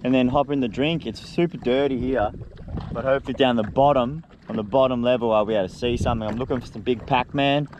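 A young Australian man vlogging from a jet ski at sea, talking with excited, slangy enthusiasm straight to his viewers through an action camera held at arm's length. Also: medium pitch, fast talker. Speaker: spearfishing vlogger. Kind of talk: excited vlog talk to camera. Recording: wind and water noise outdoors.

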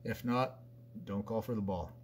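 A man's voice: fairly low pitch, moderate pace, a little hiss or hum. Man talking through a basketball drill. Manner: plain, conversational, with many fillers and restarts. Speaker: basketball coach. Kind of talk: explaining a drill over a whiteboard diagram.

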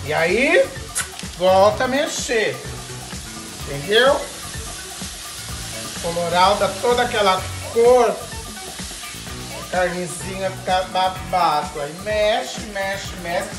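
A woman's voice: medium pitch, lively intonation, takes it slowly, long pauses. A middle-aged woman with a Brazilian Portuguese accent hosts a casual cooking demonstration in her home kitchen. She talks in a flamboyant, campy way, full of slang and playful exclamations. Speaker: cooking show host. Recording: echoey kitchen with music behind.

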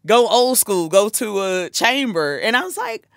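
A woman's voice: medium pitch, big pitch swings, a little slow, gravelly, fairly bright tone, dry recording, studio quality. A woman from South Carolina with a Southern accent, hosting a podcast interview in casual, enthusiastic chat, laughing often and restarting her sentences. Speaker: podcast host. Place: small dark studio with couches. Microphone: studio mic on stand.

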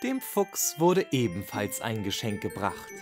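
A man's voice: on the low side, wildly swooping pitch, measured pace, faint music behind. A man narrating a children's bedtime story as a scripted narrator.

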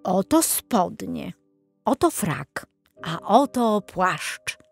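A woman voicing fawning male swindler tailors in a storybook, with gushing, drawn-out flattery. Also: medium pitch, vocal fry, husky, soft background music, heavily acted. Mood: ingratiating.

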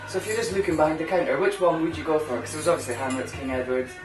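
Young aberdeen lad, cheeky laid back chat, echoey room, mic a bit far, music playing. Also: male voice, on the high side, steady tone, racing. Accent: Scottish, Aberdeen. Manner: easygoing, laughs often, cheeky banter. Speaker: co-host. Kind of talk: casual chat. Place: home bar room, indoors. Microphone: camera mic at table distance. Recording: roomy, distant camera mic, music.

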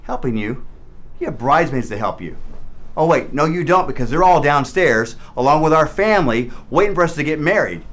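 A man's voice: on the high side, animated, a little fast, fairly bright tone. An American middle-aged man playing a betrayed, angry groom in a dramatic short film. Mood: shocked, angry. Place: hotel room. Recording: music behind the voice.

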